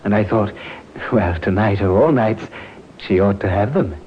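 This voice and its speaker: An actor playing a smooth-talking, scheming bridegroom who feigns devotion, solicitous and theatrical, in old-time radio drama style. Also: male voice, on the low side, normal speed, lightly acted. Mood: attentive, casual. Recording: muffled, noisy vintage radio recording.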